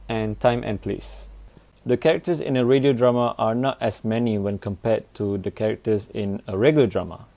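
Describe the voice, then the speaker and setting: A man's voice: mid-range voice, mellow. A young man giving a scripted, explanatory academic presentation as a student. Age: young adult.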